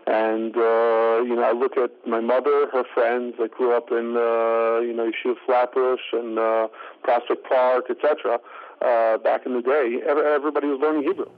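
A man giving an interview, speaking haltingly with many fillers. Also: mid-range voice, little pitch movement. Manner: halting, full of fillers like "uh, you know". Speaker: interview guest. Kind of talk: interview over the phone. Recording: tinny phone line.

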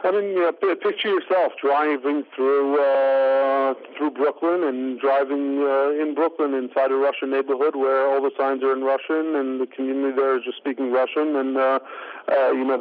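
Man, interview guest, halting speech, lots of fillers, tinny phone-line sound. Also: mid-range voice, level tone. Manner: halting, full of fillers like "uh, you know".

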